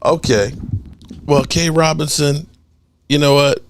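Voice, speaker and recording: A middle-aged man hosting a sports call-in show, animated and joking, swearing freely. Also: a little high, slow, slight background noise. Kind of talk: casual call-in show talk.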